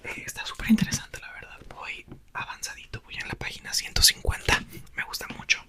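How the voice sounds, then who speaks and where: A young man, whispering softly into a close mic as he chats about a book for ASMR. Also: fairly quick. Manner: soft whisper throughout. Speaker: ASMR creator. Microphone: small mic clipped to a doll's hair.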